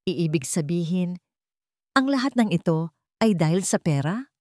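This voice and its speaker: A woman's voice: lowish voice, animated, a little slow, darkish tone, dry recording, clean studio sound. A woman voicing a frightened, wounded runaway wife in a story, trembling and deferential. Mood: shocked.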